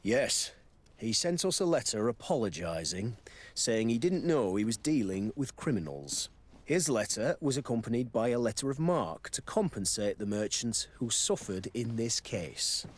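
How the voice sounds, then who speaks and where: An actor playing a calm, matter-of-fact male official in a video game. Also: medium pitch, normal speed. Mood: businesslike.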